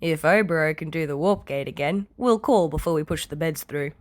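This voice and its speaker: Woman playing a calm, deadpan man, lowish voice. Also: a little fast, lightly acted. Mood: firm. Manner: deadpan, firm, gentle. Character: calm, deadpan, gently caring adult man.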